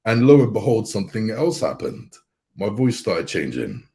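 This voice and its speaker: A man with an extremely deep voice, chatting as a guest on a casual show. He tells his story in a relaxed, rambling way and laughs easily. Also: moderate pace. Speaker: guest voice actor and YouTuber. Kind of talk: casual chat.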